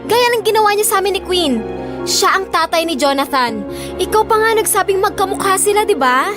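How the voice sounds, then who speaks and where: A woman's voice: very high-pitched, expressive, fairly quick, over loud music. An actress playing a bitter, confrontational jilted woman in an audio drama. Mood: bitter, warning. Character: bitter, accusing older cousin, a jilted single mother.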